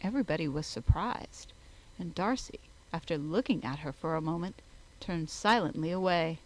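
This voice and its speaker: A woman's voice: on the low side, moderate pace, a little hiss or hum, poor audio. Woman narrating a classic novel. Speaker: audiobook narrator.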